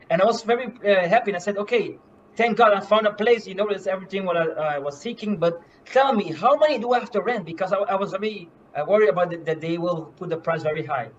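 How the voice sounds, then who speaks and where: A man from Curaçao, a medical missionary, giving an earnest, halting faith testimony full of fillers and restarts. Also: high voice, dull audio, slight background noise. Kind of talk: personal faith testimony in a live stream.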